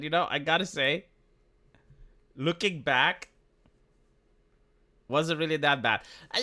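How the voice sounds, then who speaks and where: A man from Romania rambles through a casual livestream, swearing freely and often restarting his sentences. His voice is bright, and its pitch swings widely as he talks. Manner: rambling, profane, frequent restarts. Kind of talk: casual streaming chat.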